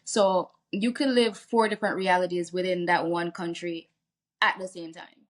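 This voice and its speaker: A woman's voice: fairly low pitch, steady tone, moderate pace. A woman from Jamaica, a singer talking candidly in a casual interview, laughing easily and restarting her sentences. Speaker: guest singer.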